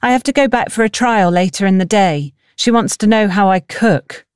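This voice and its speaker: A woman's voice: medium pitch, normal speed, smooth, no echo, clean studio sound, natural voice. A woman voicing a wary, secretive teenage village girl in an audiobook story. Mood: guarded. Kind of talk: audiobook character dialogue.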